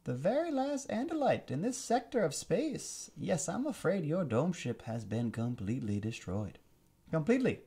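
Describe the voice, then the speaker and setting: A man putting on a high, sneering alien warlord. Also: sing-song, warm tone, breathy edge, heavily acted. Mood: menacing. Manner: sneering, drawn-out, mock-British. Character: gloating evil alien male warlord commander.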